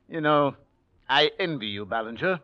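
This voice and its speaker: An actor playing a stern, controlling, wealthy old uncle in 1940s radio drama, imperious and deliberate. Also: male voice, mid-range voice. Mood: coolly musing. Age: older. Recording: dull vintage radio recording.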